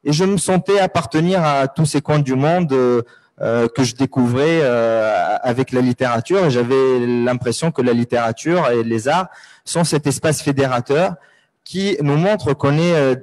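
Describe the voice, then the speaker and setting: A Tunisian man, a native Arabic speaker, talking on a panel in a mid-pitched voice. He pauses often and fills the gaps with hesitations as he works through his ideas. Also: a little mellow. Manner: hesitant, many pauses and fillers. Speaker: writer on a panel. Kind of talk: reflective panel talk.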